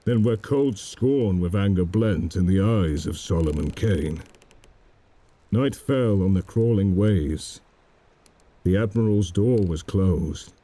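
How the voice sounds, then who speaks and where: A man narrating a dramatic poem in a solemn, measured manner. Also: deep voice, dark tone. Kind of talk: dramatic poetry recitation.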